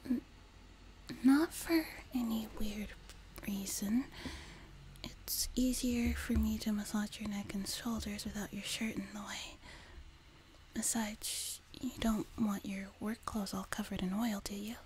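A woman voicing a sweet, teasing, doting young demon girl in a soft, breathy whispered ASMR roleplay, close to a binaural mic. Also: mid-range voice, steady tone, a little hiss or hum, natural voice. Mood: caring, soothing. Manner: gentle, slow, teasing, intimate. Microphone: close binaural microphone.

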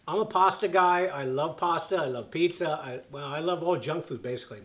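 A man's voice: a little high, fairly quick, a bit bright. A man in his fifties chatting casually in a living room, reading fan questions aloud with many fillers and restarts. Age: 50s. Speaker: channel host answering fan questions. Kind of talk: casual chat. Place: living room by a fireplace, sitting on the floor.

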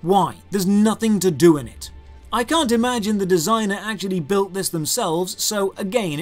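A British man in his thirties narrating a scripted game review in a sardonic, critical manner. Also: high voice. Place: small room lined with game shelves.

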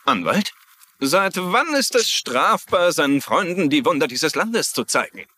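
A man's voice: on the high side, big pitch swings, a little slow, bright, forceful tone, a little hiss or hum, full character voice. An actor playing an imperious, slippery male dealer in a TV crime drama, formal and haughty. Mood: smoothly innocent. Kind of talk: German-dubbed TV crime drama acting.

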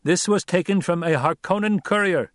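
A man voicing a young male desert leader who speaks forcefully and questions his listeners rhetorically, in an audiobook. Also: sits high, normal speed, no echo, clean studio sound, voice pushed up, close to an everyday voice. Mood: commanding. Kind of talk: audiobook character voice.

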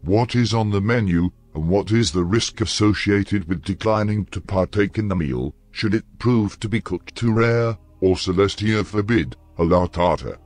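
A man's voice: fairly low pitch, normal speed, hardly any pauses, darkish tone. A man reading scripted interview questions in a formal, ornate manner. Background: music behind the voice.

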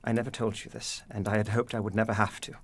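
An actor playing a weary widowed father in a period audio drama, speaking earnestly and haltingly in formal phrasing. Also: fairly low pitch, flat delivery, gravelly, breathy edge. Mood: grieving, guilty.

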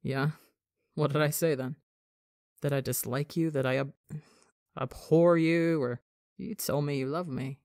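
A woman voicing an anxious young man, a tall part-creature hybrid, who mumbles low and grumpily. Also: drawn-out words, no echo, studio quality. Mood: drowsy.

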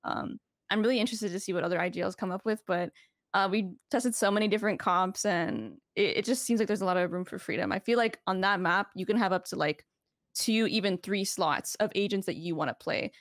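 A woman pro player chats over a headset on a video call, lively and full of opinions. Her voice is middle-pitched and the recording is dry and close. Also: brisk, no room sound.

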